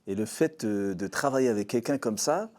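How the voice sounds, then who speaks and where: Dark-voiced hesitant man, many fillers. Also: fairly low pitch, animated, moderate pace. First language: Arabic. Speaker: singer, interview guest. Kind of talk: casual interview answers.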